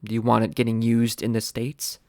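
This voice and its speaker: An actor playing a wary government science adviser, hesitant, understated and skeptical in naturalistic meeting dialogue. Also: male voice, mid-range voice, monotone, fairly quick, a little mellow, no room sound, studio quality, close to an everyday voice.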